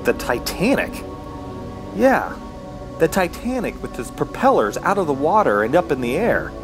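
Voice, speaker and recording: An actor playing a dazed, injured man trapped in the woods, narrating a radio horror drama in a halting, musing way. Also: fairly high pitch, sing-song, over music. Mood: bewildered, musing. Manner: halting, musing, talking to himself.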